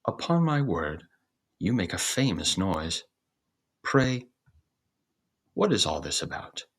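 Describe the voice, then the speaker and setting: Casting: man as an encouraging fairy-tale donkey, courteous and declamatory, husky voice, measured pace. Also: deep voice, big pitch swings, a bit bright, exaggerated voice. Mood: hearty. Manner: declamatory, old-fashioned courteous phrasing. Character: hearty, encouraging donkey, male, storybook animal leader.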